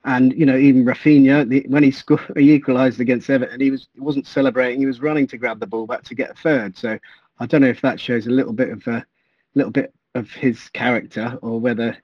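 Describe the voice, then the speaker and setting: A man's voice: mid-range voice, fairly even intonation, quick pace, no room sound, lo-fi sound. A man speaking as a podcast guest, hesitant and thoughtful, often stammering over his words. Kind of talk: casual podcast chat.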